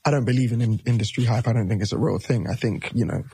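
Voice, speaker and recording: A man's voice: medium pitch, fast talker, gravelly, dark tone, husky. A man in his thirties, a musician guest, talking casually in an interview, loose and rambling with many restarts.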